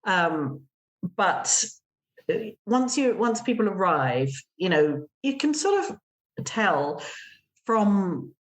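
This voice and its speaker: A woman's voice: mid-range voice, big pitch swings, slow pace. A middle-aged woman with an English accent, chatting casually as a podcast guest about hosting travellers. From England. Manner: chatty, acts out guests' voices in quotes. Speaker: gîte owner, guest.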